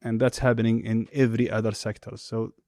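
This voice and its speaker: A middle-aged man answering interview questions in a measured, deliberate way with frequent filler pauses. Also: fairly low pitch, level tone, a little mellow, no echo, studio quality. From Saudi Arabia. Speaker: interview guest, executive.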